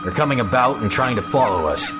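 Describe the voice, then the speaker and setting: A man's voice: mid-range voice, animated, quick pace, over music, lightly acted. A man playing an alert, dutiful starship tactical officer in a sci-fi audio drama, giving clipped status reports. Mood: focused.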